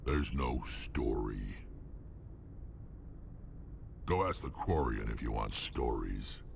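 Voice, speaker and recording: An actor playing a centuries-old alien mercenary in a game, gruff and sardonic, with a gravelly voice and curt, deadpan delivery. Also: male voice, extremely low pitch, takes it slowly, a little hiss or hum, heavily acted. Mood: gruff, dismissive.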